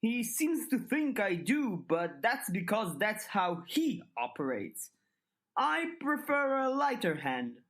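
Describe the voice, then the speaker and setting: A woman voicing a smooth, calculating older male headmaster in an audiobook, speaking in measured, formal, articulate sentences with polite manipulation. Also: medium pitch, big pitch swings, pitched above the performer's own voice. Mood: coolly confiding. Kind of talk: dramatized audiobook dialogue.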